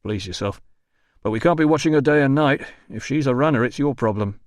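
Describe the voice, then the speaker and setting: A gruff tavern doorman brushes people off with quick, dismissive remarks, as a man puts him on. The voice is pitched a little above the narration, and the take is dry and close. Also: dry recording, close to an everyday voice. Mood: brusque. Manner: brusque, dismissive.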